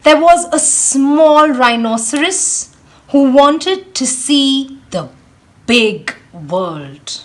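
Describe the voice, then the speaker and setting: A woman reading a children's storybook aloud with expressive, deliberate, dramatic phrasing. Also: high voice. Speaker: read-aloud host, storyteller.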